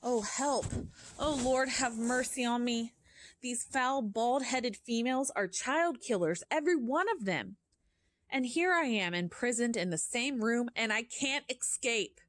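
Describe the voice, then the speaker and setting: A frightened little boy, as a woman reading the story voices him, pleads in a raised, hurried voice. The sound is close and dry. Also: fairly high pitch, lively intonation, fairly bright tone, no room sound, voice pushed up. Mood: panicked. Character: terrified young boy, praying in panic.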